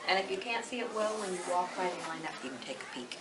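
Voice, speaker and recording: A woman's voice: lowish voice, expressive, fast talker, far from the mic. Lady teacher chatting to little kids, echoey classroom, really noisy recording. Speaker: elementary school teacher. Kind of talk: informal classroom instruction. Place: indoor school classroom. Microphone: distant from the speaker.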